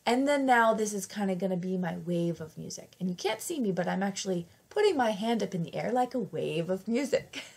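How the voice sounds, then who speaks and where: A woman with a slight cold talks through her craft project in a chatty, easygoing voice-over. Her voice has a gravelly, creaky edge, and she often corrects herself mid-sentence. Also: lowish voice. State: fighting off a cold. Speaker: craft channel host. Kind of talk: casual craft tutorial voice-over.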